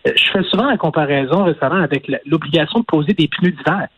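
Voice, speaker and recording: Quebec French man, husky, fairly high, dry close sound; careful, hedging interview guest. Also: dry recording, dull audio. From Portugal. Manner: measured, hedging, many filler restarts. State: recovering from illness. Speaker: interview guest, former political adviser. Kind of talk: phone-in news interview.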